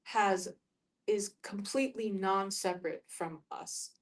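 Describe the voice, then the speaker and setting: A woman talks reflectively in a group discussion, at a middling pitch. She feels her way through each thought, often halting to find the right word. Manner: halting, searching for words.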